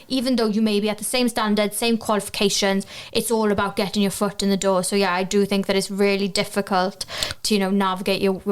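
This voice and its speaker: Welsh woman in her 20s, welsh first language, earnest steady answers, middle pitch. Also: smooth. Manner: earnest, steady, ends points with "so yeah". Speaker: journalism graduate, guest. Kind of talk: interview answers.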